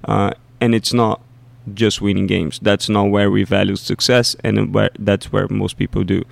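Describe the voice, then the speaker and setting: A man's voice: on the low side, little pitch movement, moderate pace, gravelly, no echo. A man, a youth soccer coach, talking casually on a podcast and often repeating himself as he thinks aloud.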